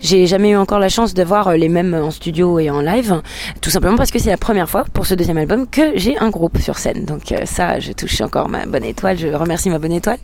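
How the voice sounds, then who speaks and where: A woman's voice: fairly low pitch, a little fast, darkish tone, a little hiss or hum. A woman whose first language is English, a singer-songwriter answering interview questions in a hesitant way, with many filler sounds and restarts.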